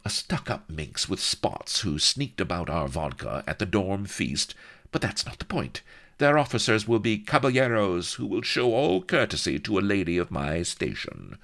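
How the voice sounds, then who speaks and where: A man's voice: low voice, big pitch swings, normal speed, creaky, a bit bright, voice pushed up, full character voice. A man voicing a vain, imperious young noblewoman with a posh upper-class English accent in a comic story. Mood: haughty scorn. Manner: posh, affronted, commanding.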